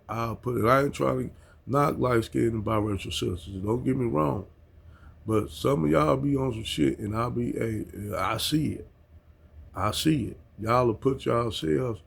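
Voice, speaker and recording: A man's voice: medium pitch, vocal fry, slightly rough. An American man in a casual rant at home, talking bluntly and with heavy profanity, chuckling at his own points. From United States. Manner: blunt, heavily profane street talk, chuckles at himself. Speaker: vlogger.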